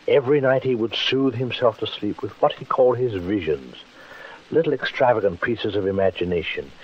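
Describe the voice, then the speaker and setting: A man's voice: fairly low pitch, breathy edge, lo-fi sound. A man playing a wry, urbane storyteller in a 1940s radio mystery, droll and confiding, on an old recording. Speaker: narrator. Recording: old band-limited radio recording with loud music.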